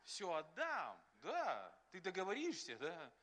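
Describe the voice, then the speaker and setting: A man preaching a sermon as pastor, animated and acting out quotes, often laughing. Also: high-pitched, measured pace. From Russia.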